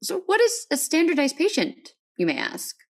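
A woman's voice: high voice, huge pitch swings, normal speed, vocal fry. Woman hosting a conversational podcast. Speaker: podcast host and interviewer.

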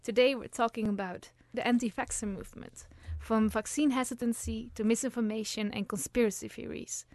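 A young woman from the Netherlands hosts a student radio program, reading her script with the odd stumble. Her voice sits a little high. The studio sound is close and dry. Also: fairly even intonation, brisk, hardly any pauses, darkish tone, dry recording. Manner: reads from a script, some hesitations. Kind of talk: scripted intro and casual chat.

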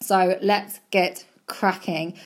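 Chatty woman, slightly croaky. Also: on the low side, steady tone, slow pace. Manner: chatty, encouraging, repeats words for emphasis. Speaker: bodybuilding competitor and podcast host. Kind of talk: casual advice monologue.

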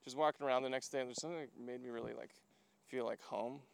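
Clear-voiced man from Hungary, rambling casually. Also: medium pitch, steady tone, fast. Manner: rambling, filler-heavy storytelling with frequent "like". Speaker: podcast guest. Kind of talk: casual chat while walking. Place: outdoors, walking to a lookout.

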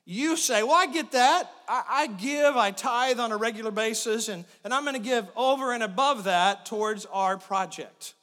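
High-voiced pastor with a head cold. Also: male voice, moderate pace, hardly any pauses, bright, forceful tone. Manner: chatty, joking asides. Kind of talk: sermon. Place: church sanctuary. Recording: long reverb of a big hall.

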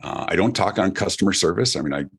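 A middle-aged man from Denver with a deep voice, telling his story as a guest on an interview show. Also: fast talker, breathy edge. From Denver, Colorado, USA. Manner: animated storyteller, chatty with restarts. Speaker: keynote speaker and guest. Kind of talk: podcast interview.

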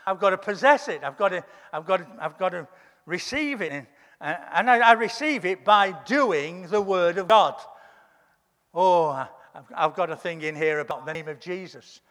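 High-voiced croaky man preaching. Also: lively intonation, moderate pace, a bit bright, in a large hall. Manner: fervent preaching, repeats words when building up. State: croaky voice from a cold. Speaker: pastor. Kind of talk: sermon.

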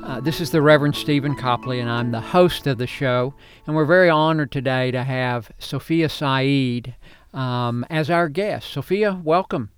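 Clear-voiced male show host. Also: mid-range voice, soft background music. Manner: warm, slightly halting, repeats words. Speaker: host, reverend. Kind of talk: interview hosting.

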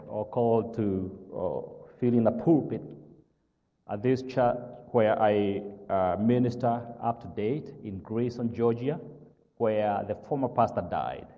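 A man from Kenya with a Kenyan accent, whose first language is not English, preaching a sermon as pastor. He speaks slowly and haltingly, fills pauses with "uh" and keeps asking "all right?". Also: mid-range voice, long reverb tail, dull audio. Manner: slow, halting with many "uh" fillers, checks "all right?".

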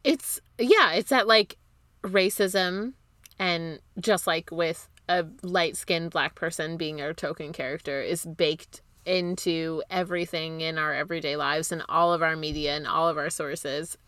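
Bright, low-pitched woman, blunt and informal. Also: fairly even intonation, measured pace, no room sound. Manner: blunt, informal, swears casually. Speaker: podcast co-host. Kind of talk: casual film discussion podcast chat.

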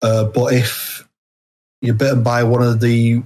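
A man chatting casually as an interview guest, speaking haltingly with hesitant, broken phrasing and repeated false starts. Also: mid-range voice, flat delivery, darkish tone. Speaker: guest author.

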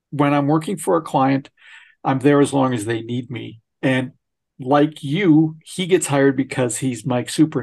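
Gravelly-voiced man co-hosting a chat. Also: a little high. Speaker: podcast co-host. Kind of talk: casual interview chat.

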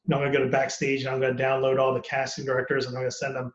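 A man's voice: mid-range voice, completely flat delivery, racing. Candid man in a roomy, dull recording. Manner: candid, rambling, laughs often. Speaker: actor guest. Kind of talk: casual podcast conversation.

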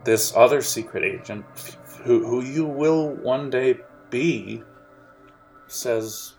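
A man narrating as game master of a tabletop role-playing game, describing scenes in a halting, lively way. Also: medium pitch, vocal fry, soft background music.

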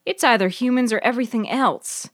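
A woman's voice: a little high, a little fast, no room sound, pitched above the performer's own voice, natural voice. Anxious, insistent young-hearted heroine for audio fiction female narrator acting, earnest, searching delivery, close dry sound. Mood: anxious, pleading. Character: Maddie, anxious, earnest woman who loves the bog. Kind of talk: dramatised audiobook dialogue.

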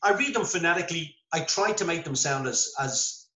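Irish male guest, chatty raconteur, bright tone, roomy video-call sound. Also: fairly high pitch, fairly quick. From Ireland. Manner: animated storyteller, self-deprecating. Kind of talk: casual video-call interview.

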